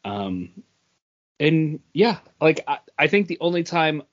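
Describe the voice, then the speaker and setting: A middle-aged man co-hosting a podcast, chatting casually about pop culture in a rambling, halting way. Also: a little high, big pitch swings. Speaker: podcast co-host. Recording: dull lo-fi podcast audio.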